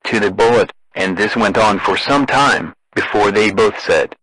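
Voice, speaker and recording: Deadpan robotic male alert announcer, low-pitched. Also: normal speed, breathy edge, no room sound, low-quality recording. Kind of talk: scripted emergency broadcast announcement.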